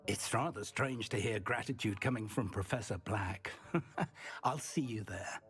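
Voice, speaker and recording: An actor playing a composed, resourceful wizard professor in a video game, speaking in a measured, dryly wry way. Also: male voice, fairly low pitch, a bit bright, faint music behind. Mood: calm, wry.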